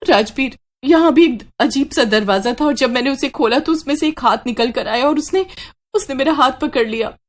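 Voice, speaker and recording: A woman voicing an excitable, emphatic young woman in an acted horror storybook. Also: high voice, normal speed, pitched above the performer's own voice, lightly acted. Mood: worried. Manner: emphatic, questioning, exclamatory. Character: excitable young woman, indignant bride, easily upset.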